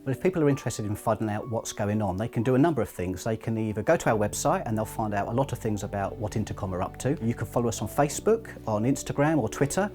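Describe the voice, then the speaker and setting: A man's voice: fairly low pitch, animated, quick pace, few pauses, darkish tone. A man giving practical information as a charity representative in an interview style.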